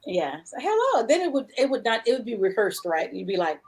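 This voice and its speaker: A woman's voice: a little high, big pitch swings, fairly quick, heavy background noise. A woman hosting a casual livestream interview over a video call, chatty and rambling as she reads out viewer comments. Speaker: show host.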